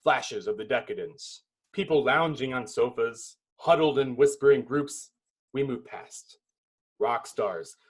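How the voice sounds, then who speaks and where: A man's voice: mid-range voice, breathy edge. A man giving dramatic scripted narration, reading a film script's stage directions.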